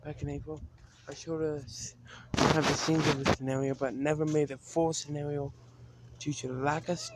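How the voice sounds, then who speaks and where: A man giving an unscripted vlog-style talk as a video creator, haltingly, often repeating and restarting his phrases. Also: a little high, little pitch movement, heavy background noise. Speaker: video creator announcing a decision to fans.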